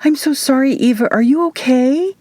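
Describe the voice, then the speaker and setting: Audiobook role: woman as young witch, high, fretful, teasing and bossy, dry close recording. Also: normal speed, a little mellow, no echo. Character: excitable, cheeky, fussing young witch woman. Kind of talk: audiobook character voice.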